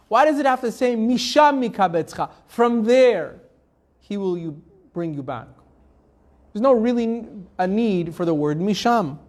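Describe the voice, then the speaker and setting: A rabbi teaching a live Torah class. He reads Hebrew verses, translates them phrase by phrase, and asks the class rhetorical questions in a loose, conversational way. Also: extremely high pitch. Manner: conversational, with restarts and fillers.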